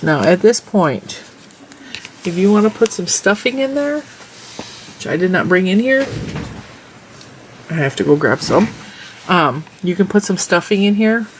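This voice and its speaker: A woman with a stuffy, congested nose talks casually while she crochets and counts off her stitches and rows. Her voice has a gravelly fry, and a faint hiss of noise sits behind it. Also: on the low side. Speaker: crochet tutorial host. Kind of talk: casual crochet tutorial with chat.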